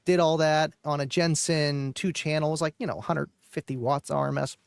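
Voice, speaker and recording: A man co-hosting a live stream, chatting casually about car audio in a relaxed, rambling way with many fillers. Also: on the high side, a little fast, creaky, clean tone, no room sound, studio quality.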